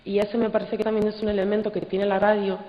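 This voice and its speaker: A thoughtful audience member speaks spontaneously in a public discussion, feeling for words and checking for agreement. The voice sits at a middle pitch. It rings through a large hall with long reverb and a slightly dull sound. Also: level tone, fast, warm tone. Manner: hesitant, reflective, seeks agreement with tag questions. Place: large indoor congress hall.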